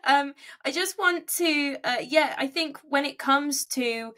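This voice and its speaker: A woman's voice: high-pitched, takes it slowly, bright, forceful tone. A woman hosting an interview over a video call, phrasing her questions in a tentative, roundabout way. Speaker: podcast host, interviewer. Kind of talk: conversational interviewing.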